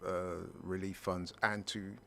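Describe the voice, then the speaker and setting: Low-voiced man making an emphatic sports point. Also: little pitch movement, vocal fry, fairly bright tone. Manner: measured and emphatic, repeats for stress. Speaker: sports pundit co-host. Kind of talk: casual sports chat.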